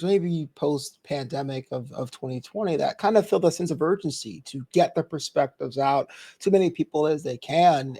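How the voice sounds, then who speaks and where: A high-voiced man hosts a book interview with an upbeat, polished presenter's delivery. He is from New York and heaps praise on his guest. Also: a little mellow. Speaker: interview host.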